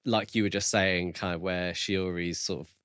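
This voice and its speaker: A man with a fairly low voice chats as a podcast host. He offers opinions casually and tentatively, thinking them through as he talks. The recording is very dry, with no audible room. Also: steady tone, normal speed, a bit bright, clean tone, no echo. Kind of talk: casual book review discussion.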